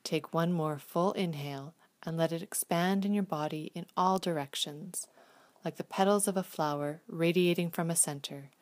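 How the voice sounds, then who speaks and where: A woman gently leading a guided visualization meditation in a soothing, hushed, unhurried manner. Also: on the low side.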